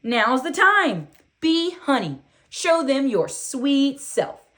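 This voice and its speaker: A woman voicing a loving, wise old grandmother in a storybook, giving encouraging advice with drawn-out phrasing. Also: high-pitched, sing-song, fairly bright tone, voice pushed up, full character voice. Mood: tender, encouraging.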